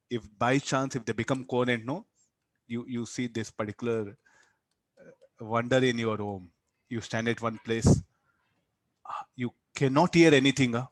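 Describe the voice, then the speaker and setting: A man with a South Indian accent lectures as a physics teacher, in a medium-pitched voice. He often repeats his words and ends sentences with little questioning tags to draw students in. The sound is dry, with hardly any room echo. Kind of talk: interactive classroom lecture.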